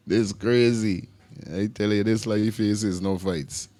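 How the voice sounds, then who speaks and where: A man's voice: fairly low pitch, warm tone. A man with a Guyanese accent, hosting a reaction video and rambling loosely in casual commentary. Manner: loose, rambling, repeats himself with Creole grammar. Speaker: reaction channel host.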